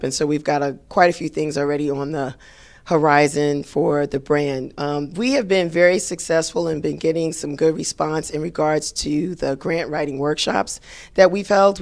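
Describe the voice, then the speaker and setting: A woman hosting her show with a raspy, hoarse voice, chatting casually with many fillers. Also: low-pitched, a little mellow. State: hoarse after a late night. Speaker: host.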